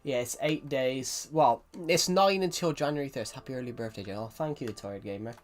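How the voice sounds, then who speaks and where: A young streamer with a Southwest English accent talks in a loose, rambling way, often restarting sentences and answering his chat. His voice sits at an ordinary middle pitch. Faint music and keyboard typing run underneath. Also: brisk. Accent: Southwest England. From England. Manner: rambling, restarts a lot, reads chat aloud. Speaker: game streamer. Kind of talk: casual live-stream chat.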